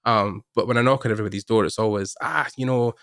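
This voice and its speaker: A man's voice: lowish voice, fast, a bit bright, dry recording, studio quality. A man hosting a podcast interview in a casual, conversational way.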